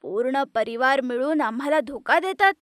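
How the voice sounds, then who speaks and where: A woman voicing a stern, greedy middle-aged mother-in-law who scolds harshly, in a dry-sounding animated storybook. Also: sits high, moderate pace, no room sound. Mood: angry.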